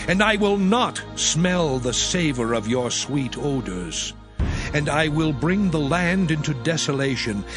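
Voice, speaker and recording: A man voicing the Lord as a stern, majestic deity in a dramatized scripture reading, proclaiming slowly and solemnly. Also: fairly high pitch. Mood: stern, wrathful. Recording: music bed underneath. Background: background music.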